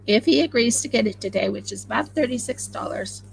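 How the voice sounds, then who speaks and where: Chatty Oklahoma-accented woman with a gravelly edge. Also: on the high side, a little fast, a little hiss or hum, poor audio. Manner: chatty, rambling, excitable. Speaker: craft channel host. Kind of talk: casual live-stream chat while sewing.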